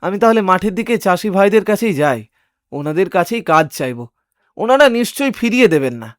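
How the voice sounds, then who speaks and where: A man playing a poor, honest, simple-hearted cart puller in an animated moral story, speaking in an earnest, plaintive, folksy way. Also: high-pitched, fairly quick, no room sound, faint music behind. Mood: pleading.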